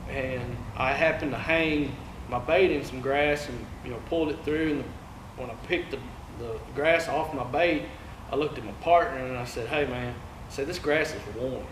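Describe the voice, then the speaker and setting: Laid-back East Texas man, echoey room. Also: medium pitch. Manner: laid-back, halting with many fillers. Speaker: guest angler. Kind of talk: casual chat. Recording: echoey room with loud ambient noise.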